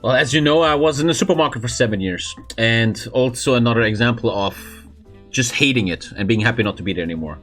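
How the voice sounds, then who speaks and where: A man in his twenties hosting a casual podcast, frank and animated, asking lots of questions. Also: medium pitch, fast talker, soft background music. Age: 20s. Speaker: podcast host and streamer.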